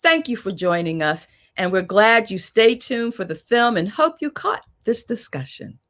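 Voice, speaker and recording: A woman's voice: medium pitch, big pitch swings, normal speed, a bit bright. A woman with a mid-range voice acts as the host of a panel. She moderates informally, speaking directly to guests and audience in a conversational way.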